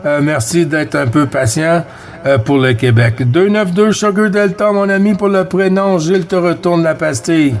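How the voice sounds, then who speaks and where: Higher-pitched Québécois man on CB radio. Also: normal speed. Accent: Québécois French. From Quebec, Canada. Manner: friendly, addresses others as friend. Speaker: amateur CB radio operator. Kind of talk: CB radio contact, casual exchange with callsigns.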